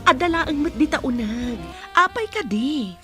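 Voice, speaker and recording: High, animated village godmother. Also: measured pace, over music. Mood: teasing concern. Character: friendly godmother, lively village neighbour. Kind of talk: Ilocano radio drama acting.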